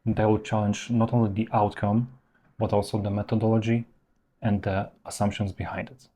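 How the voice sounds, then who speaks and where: A man presenting an instructional explainer in a measured, structured way, stressing his key points. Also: lowish voice, level tone, warm tone, slightly rough. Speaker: presenter of professional explainer videos. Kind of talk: instructional explainer, semi-scripted narration to viewers.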